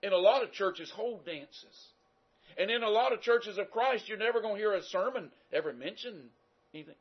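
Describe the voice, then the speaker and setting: A Southern American man delivers a folksy, conversational sermon, his voice husky and pitched a touch high. He pushes his points up with emphatic rises. The recording sounds dull and lo-fi. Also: animated, moderate pace. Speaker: preacher.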